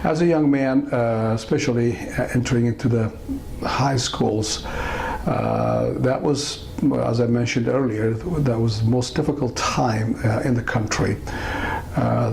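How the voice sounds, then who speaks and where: An older man from Eritrea, telling his life story in an interview, pausing often with fillers and restarts. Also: mid-range voice, fairly even intonation, creaky, a little mellow, a little hiss or hum, low-quality recording. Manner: halting, many fillers and restarts. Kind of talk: casual interview testimony.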